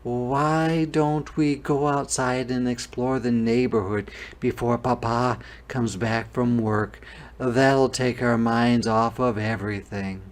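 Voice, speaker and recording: A man voicing a caring, soothing mother in a storybook, gentle and coaxing. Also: medium pitch, fairly even intonation, slow pace, lightly acted. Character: caring young boy's mother, soothing and upbeat.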